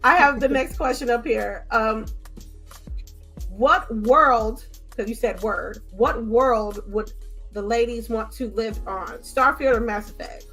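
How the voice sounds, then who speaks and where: A woman's voice: fairly high pitch, takes it slowly, a bit bright, soft background music, low-quality recording. A woman with an American accent hosting a casual gaming podcast and reading listener questions aloud to her co-hosts. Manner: reads questions aloud, apologetic and laughing. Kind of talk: casual chat.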